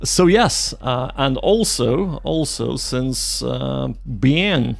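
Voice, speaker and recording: A man's voice: medium pitch, animated, very slow, creaky, no echo. A man hosting a livestream, chatting casually and jokingly with viewers and often repeating short phrases. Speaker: livestream host. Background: faint music.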